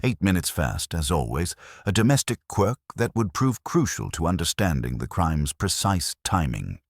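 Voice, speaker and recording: Very deep-voiced male mystery narrator. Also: big pitch swings, moderate pace, dry recording, studio quality. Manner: ornate, dramatic storytelling.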